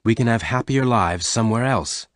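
A man voicing a strong young frontier man in an audiobook story, kind, protective and earnest, speaking gently but with resolve. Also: medium pitch, moderate pace, no echo, clean studio sound, voice pushed up, close to an everyday voice.

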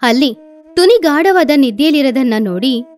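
A woman's voice: high-pitched, animated, moderate pace, soft background music. A woman narrating a scripted children's story.

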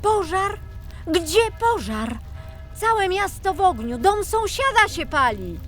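Panicked townsfolk in a children's fairy tale acted out by a woman. The voice leaps far up in pitch and calls out loudly in alarm. Music runs faintly underneath. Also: big pitch swings, takes it slowly, full character voice. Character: panicked townsfolk crying out about a fire. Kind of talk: children's audiobook character.

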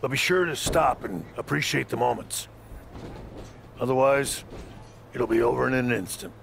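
A gravelly, wise trainer. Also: male voice, medium pitch, moderate pace, slight background noise. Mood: advising. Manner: encouraging, mentoring. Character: wise male wrestling trainer.

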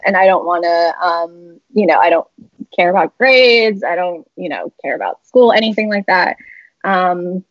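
Young woman guest, faintly husky, rambling and laughing, muffled call audio, dry home room. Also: medium pitch, no echo. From San Francisco Bay Area. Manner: rambling, many fillers and laughs. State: slightly raspy voice. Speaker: interview guest, publisher. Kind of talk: casual video-call interview. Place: home room with shelves, indoors. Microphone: laptop webcam video call.

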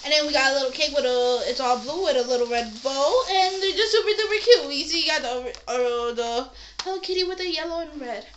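Young female haul vlogger, bright forward voice, casual and playful, slightly noisy room recording. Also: high-pitched, fairly quick. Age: young adult. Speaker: vlogger showing a shopping haul. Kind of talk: casual unboxing chat. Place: small indoor room. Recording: noisy room with handling clicks.

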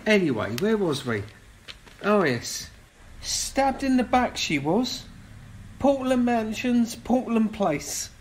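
A chatty, cheeky salesman played by a man with a high voice that bounces around a lot. It is comic radio play acting with faint noise behind. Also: very animated, slow pace, exaggerated voice. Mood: gossipy. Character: chatty, cheeky male travelling salesman on a train.

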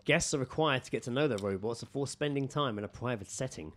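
A man's voice: medium pitch, fast talker, fairly bright tone. A British man co-hosting a podcast, casually reading out odd news with jokey, sweary asides and ready laughter. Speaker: podcast co-host.

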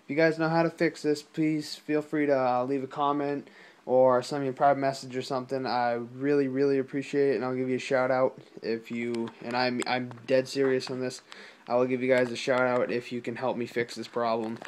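A young man talking casually and haltingly to viewers, as in an informal gaming vlog. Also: medium pitch, level tone, a little hiss or hum. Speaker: gaming video creator. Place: small indoor room at a desk.

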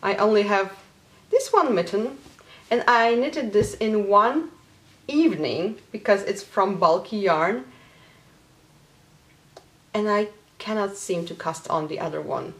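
A woman's voice: mid-range voice, animated, measured pace, pauses often. A woman in her thirties from Poland, hosting a knitting podcast in casual, chatty talk to camera from a small home craft room. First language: Polish. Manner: chatty, with many fillers and small laughs.

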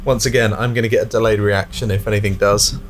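A man's voice: fairly low pitch, steady tone, fast, a little hiss or hum. A man chatting casually as he co-hosts and reacts to a video game. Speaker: let's play co-host. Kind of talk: casual chat reacting to gameplay.

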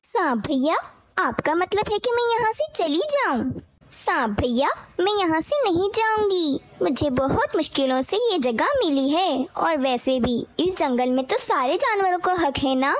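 A woman's voice: extremely high pitch, expressive, a little slow. A woman voicing a small, anxious, good-hearted mother bird in a children's cartoon, earnest and plaintive. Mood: wary, polite. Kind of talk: Hindi children's cartoon dialogue.

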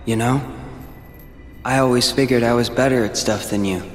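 A man's voice: medium pitch, fairly even intonation, soft background music, close to an everyday voice. A man as a brooding young American warrior. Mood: weary. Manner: subdued, reflective, measured. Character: brooding, world-weary teenage male warrior. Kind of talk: video game cutscene acting.

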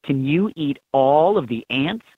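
A man reading scripted instructions for a children's learning game in an expressive, instructive manner. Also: a little high, measured pace, husky, no room sound. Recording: thin, phone-like lo-fi game audio.

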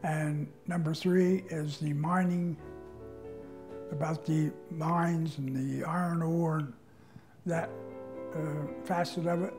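An elderly man in his eighties speaking with halting, measured phrasing in a documentary interview. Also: fairly high pitch, fairly even intonation. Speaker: museum treasurer, interviewee. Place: indoors, wood-panelled room. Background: faint instrumental music.

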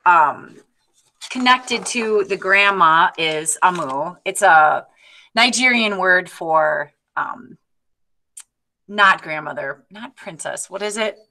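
A woman in her forties casually presenting a book on a video call, halting a little as she thinks aloud. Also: on the low side, animated, sharp tone. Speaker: participant in a read-aloud discussion group. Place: indoor room, on a video call. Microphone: computer on a video call, close to the screen.

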